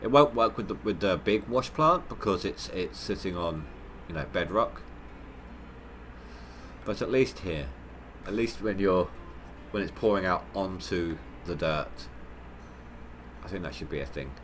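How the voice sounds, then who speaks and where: A man's voice: low-pitched, expressive, unhurried, a bit bright, a little hiss or hum. A man with a head cold, sounding nasal, giving rambling, stop-start commentary on his gameplay livestream. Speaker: gaming livestreamer.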